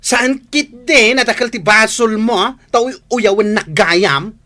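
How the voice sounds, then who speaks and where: A woman's voice: fairly high pitch, measured pace, pushed and bright, a little hiss or hum. A woman with an Ilocano accent playing a fiery, complaining village woman feuding with her friend in a comedy radio drama. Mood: furious, wailing. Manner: melodramatic, complaining.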